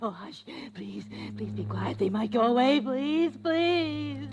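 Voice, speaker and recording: A woman's voice: high voice, lively intonation, slow, over music, heavily acted. An actress playing a terrified, near-hysterical mother in an old radio suspense drama, pleading breathlessly and repeating herself. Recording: noisy vintage radio recording with clicks.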